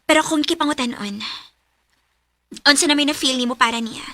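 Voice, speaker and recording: A woman's voice: high-pitched, fairly quick, pushed and bright, no room sound. A woman playing a nosy, teasing young best friend with a Cebuano Filipino accent, prodding and exclaiming in a radio romance drama.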